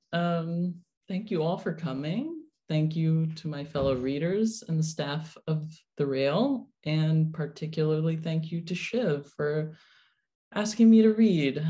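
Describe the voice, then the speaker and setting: Slow-paced woman reading poetry. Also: deep voice, mellow, highs cut off. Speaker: poet reading own work. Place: remote video call. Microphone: video call microphone.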